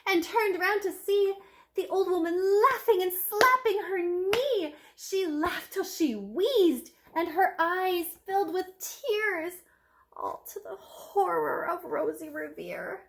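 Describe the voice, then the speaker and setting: A woman teacher reading a picture book aloud to children in an expressive, emphatic storytelling manner with dramatic hushed moments. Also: extremely high pitch, takes it slowly, slight background noise.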